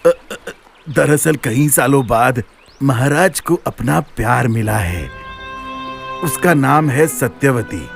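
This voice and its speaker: An actor playing a deferential royal charioteer in an animated myth, breaking news in a reluctant, halting way. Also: male voice, mid-range voice, gravelly, faint music behind. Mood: hesitant, apologetic. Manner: hesitant, halting. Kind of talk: dramatic animated mythological dialogue.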